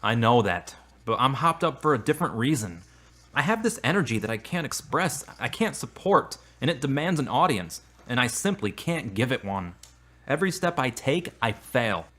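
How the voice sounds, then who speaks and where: A man's voice: medium pitch, sing-song, normal speed, sharp tone. An actor playing a frustrated, earnest man in audio drama dialogue, speaking in formal, carefully worded phrases. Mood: agitated, despairing. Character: frustrated, earnest man in a strained romance, newly religious.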